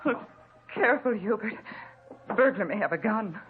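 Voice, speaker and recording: A woman playing a frightened, excitable wife in a fretful, melodramatic 1940s radio drama style, on a tinny old recording. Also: medium pitch, normal speed, slight background noise, natural voice. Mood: anxious.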